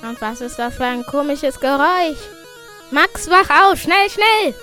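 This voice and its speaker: A woman's voice: high voice, lively intonation, unhurried, sharp tone, faint music behind, heavily acted. A female performer playing a curious young birthday boy in an amateur school radio play. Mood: alarmed.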